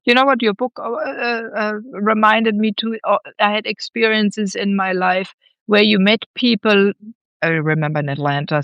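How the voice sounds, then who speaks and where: A woman speaking as a podcast host in reflective conversation, earnest and halting, often repeating words. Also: lowish voice, a bit bright, no echo, muffled recording.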